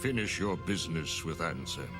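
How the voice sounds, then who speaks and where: An actor playing a solemn, mysterious older man in a video game, speaking in a grave, measured, portentous way with a gravelly voice. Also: expressive. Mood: grave warning. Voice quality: very deep, gravelly. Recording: game music clearly behind.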